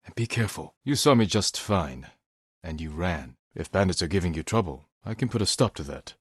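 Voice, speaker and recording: A brooding samurai lord from a video game, with a low, slightly husky voice. He is stern and grave, speaking in measured, clipped phrases, recorded dry and close. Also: animated, dry recording. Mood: stern, resolute. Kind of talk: dramatic video game voice acting.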